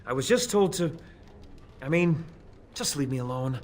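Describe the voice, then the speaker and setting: A man's voice: medium pitch, expressive, normal speed, a little hiss or hum, close to an everyday voice. An actor playing a frightened man caught spying, stammering and pleading. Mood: defensive. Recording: game audio over loud music. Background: game music.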